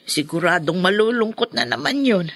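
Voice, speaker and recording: An animated, fretting friend. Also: female voice, on the low side, normal speed. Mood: fretting, sympathetic. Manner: exclamatory, fretting. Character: fussy, sympathetic woman friend. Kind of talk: Filipino radio drama acting.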